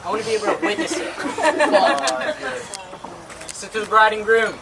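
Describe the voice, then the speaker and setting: A woman's voice: a little high, vocal fry, over a noisy crowd. A woman guest giving an earnest, heartfelt wedding toast at a reception. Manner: warm-hearted, earnest, fast. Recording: distant mic with loud noise.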